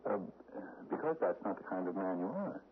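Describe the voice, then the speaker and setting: A man's voice: deep voice, big pitch swings, a little fast. An actor playing a timid, mild-mannered American man who stammers nervously and placates, in 1970s radio drama style. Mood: fearful pleading. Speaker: actor in a radio mystery play. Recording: muffled, noisy old radio broadcast recording.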